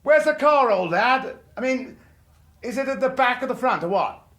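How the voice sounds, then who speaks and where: Flustered, high-voiced middle-aged Englishman. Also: animated, normal speed, a bit bright. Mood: awkwardly jovial. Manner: hesitant, bluff, stammering evasions. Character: flustered, blustering, evasive middle-aged Englishman chasing youth. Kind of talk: British radio drama acting.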